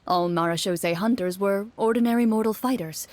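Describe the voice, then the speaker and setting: A woman's voice: mid-range voice, normal speed, a little mellow, slight background noise, lightly acted. A woman playing a composed, articulate game master and narrator in a video game. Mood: composed, informative. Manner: composed, explanatory.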